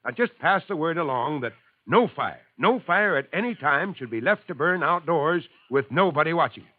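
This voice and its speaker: A man plays a steady, fatherly fire chief in a 1950s radio drama, speaking with calm, kindly authority. The voice sits mid-range and sounds thin and tinny, as if heard over an old telephone line. The sound is completely dry. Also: a little high, moderate pace, no echo, lightly acted.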